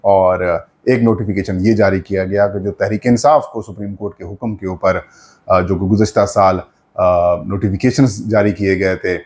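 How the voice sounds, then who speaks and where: A man with a Pakistani accent gives unscripted political news commentary, explaining details point by point with frequent pauses. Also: deep voice, fairly even intonation, darkish tone.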